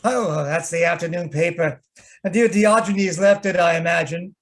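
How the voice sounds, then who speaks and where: A man's voice: fairly high pitch, normal speed, natural voice. A man playing a fussy, theatrical, peevish photographer in an old-time radio show, with an arch, genteel, hesitant manner. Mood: arch, dithering. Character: fussy, theatrical, grumbling male photographer, affectionate but peevish. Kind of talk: old-time radio comedy-mystery acting.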